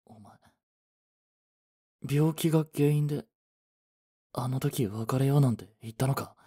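Soft, husky young boyfriend, acted by a man. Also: mid-range voice, measured pace. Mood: shocked, anguished. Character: young office worker, proud but regretful boyfriend. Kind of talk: romantic voice drama acting with introspective narration.

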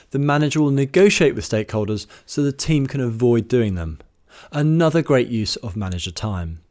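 A British man in his thirties, a management trainer, speaking to camera in a studio in a measured, instructive way and taking the points in order. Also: mid-range voice, darkish tone. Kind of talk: scripted presenter talk to camera. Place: studio with plain grey backdrop. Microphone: studio mic on boom arm in front.

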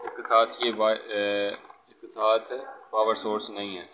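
A man giving an informal hands-on tutorial as an electrician, explaining step by step and often checking with a quick okay. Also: on the low side, monotone. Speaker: electrician presenter. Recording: dull lo-fi phone audio, background noise.

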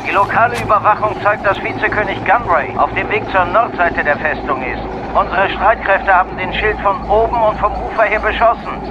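A military commander reporting by transmission in a sci-fi drama. The voice is high, formal and emphatic, dulled by a radio filter, with clear battle noise. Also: male voice, fairly quick. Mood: urgent, formal. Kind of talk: audio drama acting. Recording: dull, noisy battlefield transmission.

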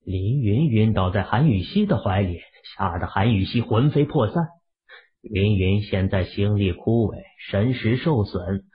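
Husky, low male narrator, emotive novel reading, dull lo-fi audio. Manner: emotive storytelling. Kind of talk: scripted narration of a fantasy novel. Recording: muffled lo-fi audio.

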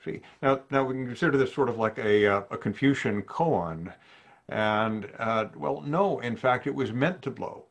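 An older man from New Orleans, a psychiatrist giving a measured webinar lecture to physicians over slides, often posing questions to his listeners, heard through a webcam in his home study. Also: mid-range voice. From New Orleans, USA. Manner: measured, thoughtful, poses questions to the audience. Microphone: video call webcam.

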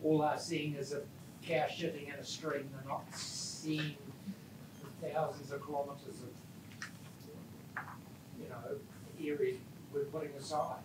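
A man's voice: fairly high pitch, flat delivery, a little slow, pauses often. A plain-spoken man in the audience giving a blunt comment. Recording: far mic, echoey hall, noise.

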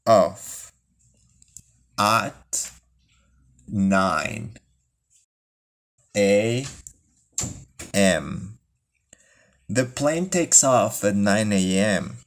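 Male teacher, lowish voice, slow drilled delivery. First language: Spanish. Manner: reads phrases slowly, then word by word. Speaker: English teacher. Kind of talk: bilingual language lesson, slow drilled repetition.

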